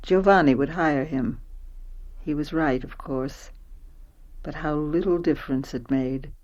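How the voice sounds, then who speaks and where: A woman's voice: low voice, unhurried, a little mellow, muffled recording. A woman acting a self-possessed wife who narrates her past in a radio play. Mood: matter-of-fact, rueful. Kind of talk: dramatic scripted first-person narration.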